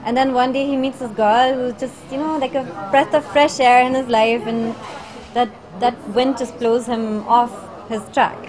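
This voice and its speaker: Chatty actress amid a noisy crowd. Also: female voice, fairly high pitch, steady tone, moderate pace. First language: not Hindi. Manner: chatty, searching for words with many fillers. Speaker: actress promoting a film. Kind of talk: press interview answers. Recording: noisy crowd around the press interview.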